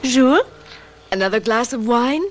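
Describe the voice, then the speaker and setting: A woman acting in a radio drama as an affectionate, excitable young fiancée, with an emotive, theatrical delivery. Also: on the high side, moderate pace, natural voice. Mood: playful, flustered.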